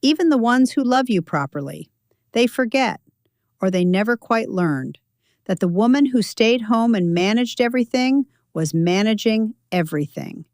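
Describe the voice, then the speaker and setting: A woman voicing a sharp, direct older woman friend who speaks in a blunt, matter-of-fact way. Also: a little high, lively intonation, moderate pace, no echo, clean studio sound, voice pushed up. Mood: firm, direct.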